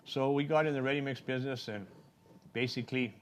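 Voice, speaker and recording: A man's voice: medium pitch, a little fast, slight background noise. An older man from a small Colorado town, answering interview questions about his life in a plain, rambling, folksy way. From Trinidad, Colorado. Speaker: city council candidate.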